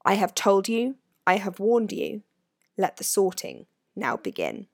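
A woman's voice: mid-range voice, wildly swooping pitch, a little slow, gravelly, no echo, studio quality, exaggerated voice. A woman voicing a solemn old enchanted hat in a storybook, reciting rhyming verse in a declamatory, rhythmic way. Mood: grave warning.

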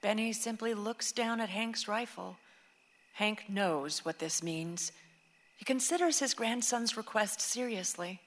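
A woman's voice: mid-range voice, measured pace, big-room sound, natural voice. A woman narrating a radio play, reading scripted stage directions with composure. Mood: measured.

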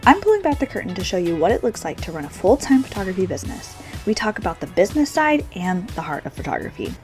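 A woman's voice: mid-range voice, sing-song, quick pace, darkish tone. Upbeat woman podcast host over faint music. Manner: upbeat, cheerleader-like. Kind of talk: scripted show intro, then interview questions.

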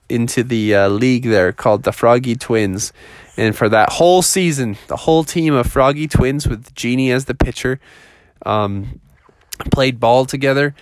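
A man's voice: mid-range voice, dry recording, studio quality. A man telling an improvised children's story in a casual, colloquial way.

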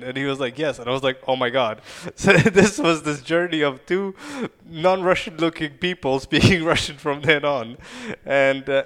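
A man's voice: mid-range voice, fairly bright tone. A man from Ukraine, a podcast guest, telling stories in a chatty way and laughing often. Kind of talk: casual podcast chat.

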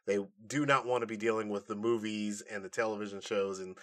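A man's voice: on the low side, steady tone, a little fast. Casual, chatty male podcast host. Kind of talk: casual nerd-news podcast chat.

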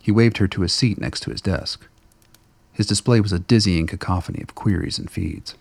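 A man's voice: deep voice, a little fast, gravelly, a little mellow, breathy edge. A man reading scripted narration for a radio drama as its narrator.

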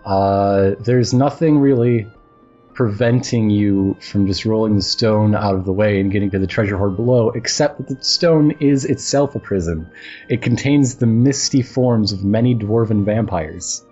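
A man running a tabletop game as game master, narrating casually and haltingly in a conversational way. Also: lowish voice, warm tone, muffled recording. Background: music.

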